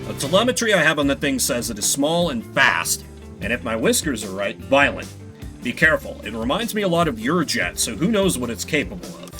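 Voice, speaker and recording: A man voicing a calm whiskered animal handler. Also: medium pitch, soft background music. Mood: cautious. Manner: measured, warning briefing tone.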